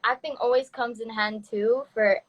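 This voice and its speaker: A woman's voice: fairly high pitch, normal speed. Easygoing young woman hosting a livestream. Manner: relaxed, hesitant, with fillers and restarts. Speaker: livestream host. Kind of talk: casual live-stream chat. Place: home in the Philippines. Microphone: phone.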